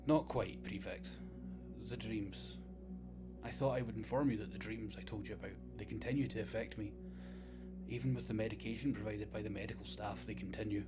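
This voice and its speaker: Deferential, lowish-voiced ship's scribe played by a man. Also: fairly even intonation, a little fast, close to an everyday voice. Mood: troubled. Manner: hesitant, deferential, formal. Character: deferential, unsure male scribe, polite and troubled. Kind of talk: scripted sci-fi audio drama. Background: prominent music.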